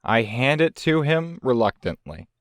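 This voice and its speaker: A man playing a tabletop game, casually describing his character's actions and bantering in a deadpan way. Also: medium pitch, unhurried, no echo.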